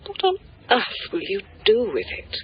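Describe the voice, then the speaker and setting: A woman's voice: on the high side, huge pitch swings, measured pace, breathy edge, heavily acted. An older woman playing an imperious, coolly condescending lady in a radio drama, with arch delivery. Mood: probing. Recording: dull, noisy radio drama phone scene.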